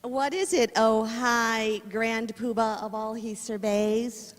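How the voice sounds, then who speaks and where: Woman playing gangster villain's wife, high pitch, unhurried, sly, sardonic, hall reverb. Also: steady tone. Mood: sly, mocking. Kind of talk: amateur radio-play acting.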